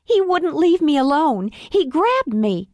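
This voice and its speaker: Storybook villainess: high, shrill and spiteful read by a woman narrator. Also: sing-song, a little slow, a little mellow, no echo, studio quality. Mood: fake-tearful. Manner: shrill and theatrical. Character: shrill vindictive young woman, tearful liar.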